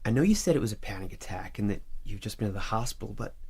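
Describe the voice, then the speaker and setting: A helpful young college guy in an audio drama, full of concern. His voice is husky and swings widely in pitch as he talks. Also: animated, quick pace, dark tone.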